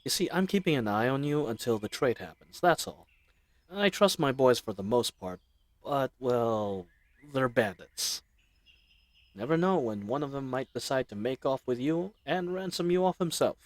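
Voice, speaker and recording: Man playing a charming, lively bandit leader. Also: medium pitch, moderate pace, no room sound, lightly acted. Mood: teasing, easygoing. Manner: casual, chatty, playfully teasing. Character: charismatic, friendly male bandit leader, teasing and roguish. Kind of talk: fantasy audio roleplay acting, speaking intimately to listener.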